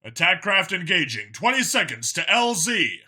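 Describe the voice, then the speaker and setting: A man's voice: sits high, very animated, moderate pace, pushed and bright, slightly rough, voice pushed up, full character voice. A man voicing an urgent military pilot in a sci-fi audiobook, barking clipped callouts. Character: urgent military pilot calling out over comms. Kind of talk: dramatised science fiction audiobook.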